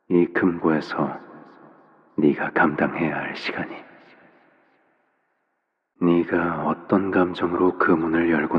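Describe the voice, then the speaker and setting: A man voicing a soft-spoken, menacing father figure of a crime syndicate in a video game, with gentle parental phrasing. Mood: cool, measured. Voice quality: very deep, low voice. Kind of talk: scripted video game voice acting. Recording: long reverb, dull muffled sound.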